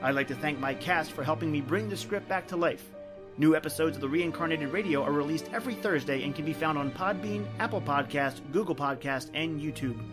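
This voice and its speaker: A man's voice: fairly high pitch, a little fast, music in the background. A man reading a scripted podcast outro as its host.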